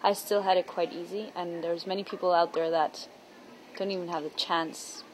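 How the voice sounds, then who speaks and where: A woman from Chile, a theatre artist, talking in an earnest, thoughtful interview with many pauses. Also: lowish voice, steady tone, slight background noise, low-quality recording. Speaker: theatre artist interviewed about her play. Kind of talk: reflective personal interview.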